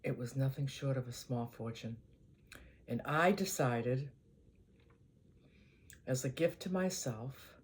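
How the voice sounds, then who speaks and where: An older woman with a Boston accent, chatting casually to her channel in an easygoing, rambling way, often letting her voice trail off quietly. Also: very deep voice, slight background noise. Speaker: vlogger. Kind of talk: casual chat to camera.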